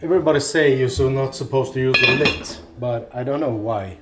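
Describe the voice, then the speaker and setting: A man, making brief, low-key remarks while cooking at home. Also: brisk, very noisy. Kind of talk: casual chat while cooking. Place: home kitchen. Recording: echoey kitchen with soft guitar music.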